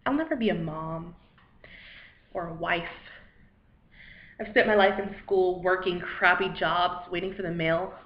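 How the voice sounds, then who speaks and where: A woman acting a dramatic monologue as a weary, self-deprecating failed poet, anxious and rambling, in a halting, wry, conversational way. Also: on the low side, close to an everyday voice. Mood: bitter. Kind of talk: dramatic monologue, cold read. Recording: echoey room, dull lo-fi microphone.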